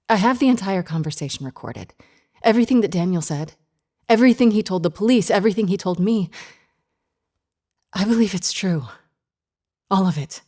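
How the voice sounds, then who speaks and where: A woman's voice: mid-range voice, animated, warm tone, close to an everyday voice. A woman playing an anxious, earnest grief counselor in an audio drama, speaking in a hesitant, insistent way. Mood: anxious, urgent. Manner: hesitant, halting, earnest pleading.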